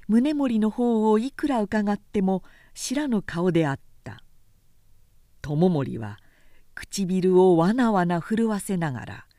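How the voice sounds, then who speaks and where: A woman recites a classic war tale as narrator. The reading is measured and theatrical, slow in pace with very expressive pitch, in a dry, close recording. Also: no room sound. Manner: measured, theatrical, carefully phrased.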